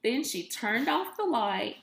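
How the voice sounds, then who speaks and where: A woman reads a children's story aloud with expression, taking it very slowly. Her voice sits a bit high, and the recording is roomy and echoey. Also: very animated, gravelly, a bit bright, a little hiss or hum. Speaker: teacher reading aloud.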